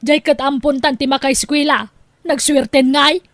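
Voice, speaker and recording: A woman's voice: sits high, fairly even intonation, normal speed, no room sound, natural voice. An actress playing a money-minded, doting mother and nagging wife in an Ilocano radio drama, theatrical and exclaiming, with an Ilocano accent. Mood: dismissive.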